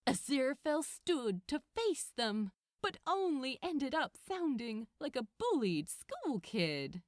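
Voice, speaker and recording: A woman narrating a story from a script in an expressive audiobook style, recorded close and dry. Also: high voice, slow pace, dry recording. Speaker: audiobook narrator of fan fiction.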